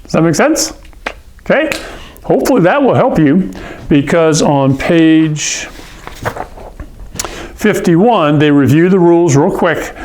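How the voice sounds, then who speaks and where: A man's voice: a little high, lively intonation, slow pace, pauses often, a little mellow, a little hiss or hum. A man from Hawaii teaching a science lesson, explaining patiently and often checking in with "okay?" and "all right?". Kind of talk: classroom lecture.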